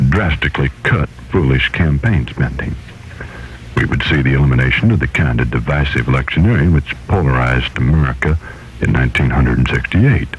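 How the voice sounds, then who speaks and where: Man putting on a very deep, pompous judge. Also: a little hiss or hum. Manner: pompous, deliberate oratory. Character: solemn, pompous right-wing male judge giving political editorial. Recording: dull, lo-fi 1970s radio recording.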